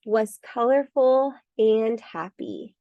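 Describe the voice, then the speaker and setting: A woman reading a bedtime story for children in an expressive, emphatic way. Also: fairly high pitch, slow, dry recording, narrow, tinny audio. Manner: expressive, emphatic with repeated words. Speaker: storybook reader.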